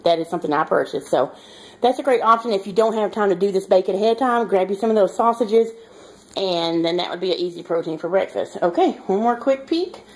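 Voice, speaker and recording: A woman in her thirties talks in an informal, rambling vlog style, addressing her viewers directly. Her voice sits at a medium pitch. It sounds like a handheld recording made in a home kitchen. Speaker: home cooking vlogger. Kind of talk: casual vlog chat to viewers. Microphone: handheld camera or phone at arm's length.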